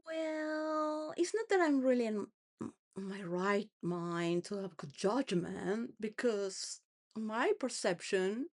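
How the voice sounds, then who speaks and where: A woman rambling through a personal monologue, full of restarts and wandering thoughts, sometimes laughing between phrases. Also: mid-range voice. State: homeless.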